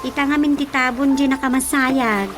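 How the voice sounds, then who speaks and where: A woman's voice: high-pitched, steady tone, normal speed, music in the background, lightly acted. An actress playing a woman chatting familiarly in a radio drama, with an Ilocano Filipino accent. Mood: conversational.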